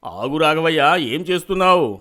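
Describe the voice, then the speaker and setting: A stern, upright village headman in a cartoon moral tale, played by a voice actor. He speaks firmly and scolds and lectures, with lively rises and falls in his pitch. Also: a little fast, clean tone, lightly acted. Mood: confronting. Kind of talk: animated cartoon voice acting.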